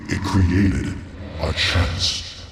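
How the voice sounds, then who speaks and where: A man voicing a ghostly alien templar with slow, grave, formal speech. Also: low-pitched, fairly even intonation, noisy background. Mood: solemn. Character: ghostly male alien templar, solemn spirit. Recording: distant echoey reverb with music.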